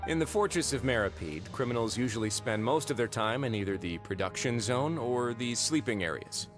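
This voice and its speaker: A man voicing a composed, authoritative prison administrator in a video game, explaining things in a measured, formal way. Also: mid-range voice, lively intonation, music in the background, lightly acted. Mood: composed, instructive.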